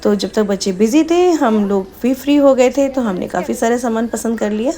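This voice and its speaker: A woman's voice: a little high, normal speed, warm tone. A woman narrating a family vlog in a chatty, casual way, slipping English phrases into her Hindi. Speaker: vlogger.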